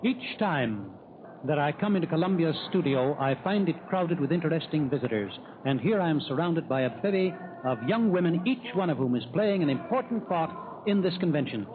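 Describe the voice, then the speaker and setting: A man's voice: on the high side, expressive, brisk. A man announcing in scripted, formal phrases on 1930s radio. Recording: tinny, noisy 1930s radio broadcast.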